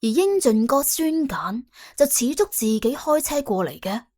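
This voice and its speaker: A woman's voice: a little high, very animated, unhurried, darkish tone, soft background music. A woman with a Cantonese accent narrating an audiobook dramatically, in a wry, colloquial, exclamatory way. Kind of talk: dramatic scripted storytelling.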